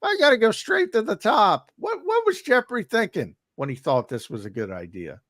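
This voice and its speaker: A man hosting a casual sports talk show, losing his voice a bit, animated with quick interjections. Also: high voice, no echo, studio quality.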